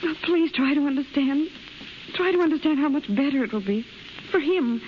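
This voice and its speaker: An actress playing an earnest rival in love in a 1930s radio drama, pleading urgently. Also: female voice, high voice, moderate pace, natural voice. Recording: muffled, faintly hissy 1930s radio recording.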